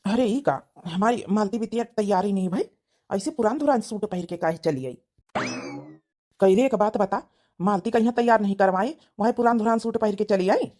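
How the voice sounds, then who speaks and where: A woman's voice: medium pitch, moderate pace, warm tone, close to an everyday voice. A woman voicing a blunt, talkative village father with an Awadhi accent, fond of his daughter, in a cartoon comedy. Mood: fussing. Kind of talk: rustic cartoon comedy acting.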